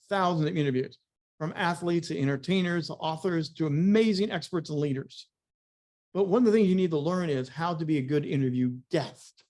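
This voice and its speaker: Male media coach, voice a little on the high side, presenting business advice with emphatic, punchy, repetitive phrasing. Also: darkish tone. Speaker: media coach and motivational speaker.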